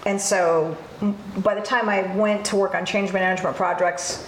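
A woman's voice: medium pitch, fairly even intonation, moderate pace. A middle-aged woman hosting a cooking show, curious and chatty as she asks her guest questions. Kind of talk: casual interview chat. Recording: echoey kitchen with faint noise.

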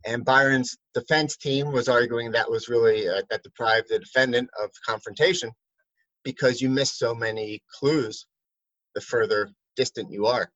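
A man who works as an attorney, asking a colleague questions in a relaxed, rambling way with many fillers. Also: mid-range voice, moderate pace, no room sound, dull audio. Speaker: attorney and interviewer. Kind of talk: conversational chat.

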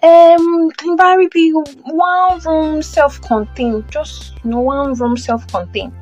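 A woman's voice: sits high, fairly even intonation, slow pace, darkish tone, faint music behind, poor audio. A woman with a Nigerian accent playing a polite, eager young mother in an audio drama. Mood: eager, deferential. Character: Tumi, eager, deferential young mother seeking a flat. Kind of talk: audio drama acting, Yoruba-English phone conversation.

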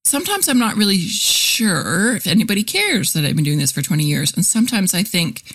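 A woman's voice: medium pitch, sing-song, fairly quick, fairly bright tone, breathy edge. A woman in her forties hosting a casual solo podcast, chatty and candid, full of fillers and easy laughs. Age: 40s. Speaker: podcast host, copywriter and content teacher.

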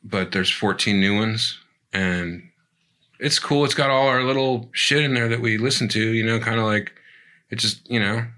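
A middle-aged punk band member in casual chat, rambling and swearing with lots of fillers. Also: male voice, on the low side, moderate pace, sharp tone.

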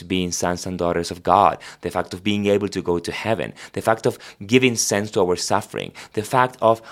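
A man with an Argentine Spanish accent and a very low voice talks through faith in a reflective, explanatory way. His English carries the rhythm of a native Spanish speaker, padded with small "uh"s and "I mean"s as he thinks aloud. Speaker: priest guest. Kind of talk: conversational religious podcast talk.

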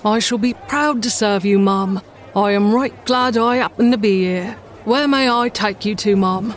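A woman's voice: medium pitch, unhurried, lightly acted. A woman reading the part of a kindly, plain-spoken working-class London cab driver in a storybook, firm and reassuring. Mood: warm, courteous.

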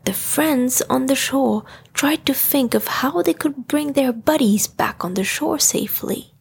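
A woman narrating a children's bedtime story in a gentle, breathy, husky voice. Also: high-pitched, unhurried.

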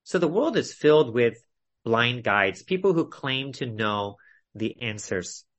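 Man, preacher, medium pitch, expository Bible teaching, deliberate phrasing, emphatic key words. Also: sing-song, fairly bright tone. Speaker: preacher leading a Bible study. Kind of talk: expository sermon. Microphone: video call.

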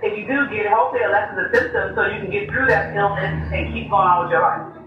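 A doctor calls in to the show and explains things in a measured, emphatic way. The voice sounds far off and heavily echoey, with clear background noise. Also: mid-range voice, lo-fi sound. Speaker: urgent care doctor, caller. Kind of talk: phone-in explanation.

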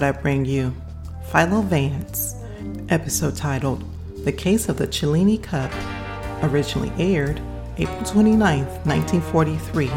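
A man's voice: fairly high pitch, gravelly. Male podcast host over music. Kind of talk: scripted show introduction.

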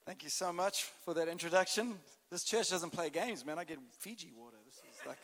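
A man's voice: on the high side, fairly bright tone. A man with a South African accent, a guest pastor opening his sermon in a playful, teasing way. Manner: playful, teasing, quick to laugh. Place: large church sanctuary. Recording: long hall reverb.

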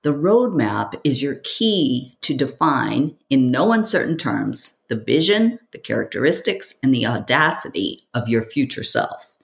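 Podcast coach type, gravelly fry, really animated pitch, dramatic scripted delivery talking right at you. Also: unhurried, hardly any pauses. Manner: theatrical, rhetorical, addresses listener directly.

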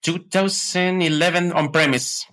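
A man from Ecuador with an Ecuadorian Spanish accent, chatting casually as an interview guest in slightly halting English. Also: fairly high pitch, steady tone, fairly bright tone. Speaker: podcast guest, tech consultant.

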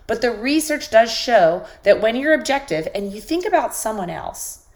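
A woman hosting a podcast, giving an encouraging motivational talk while sick with a squeaky voice. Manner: encouraging, coaching listeners directly. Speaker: podcast host.

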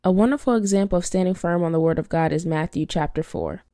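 A woman's voice: on the low side, quick pace, dark tone, no echo, studio quality. A young woman hosting a faith-based podcast, congested from a cold, teaching in an earnest, preachy way and repeating her key phrases. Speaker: podcast host.

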